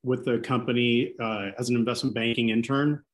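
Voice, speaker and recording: A man in his forties speaking easily as an interview guest, Greek his first language though his English is fluent. He talks in a relaxed, halting way, stopping to restart his sentences, at a mid pitch. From Seattle. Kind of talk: casual podcast interview.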